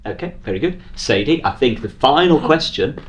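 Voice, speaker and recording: Low-pitched, lively woman hosting a panel. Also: moderate pace. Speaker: panel host and interviewer. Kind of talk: live on-stage panel chat. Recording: live event room, background noise.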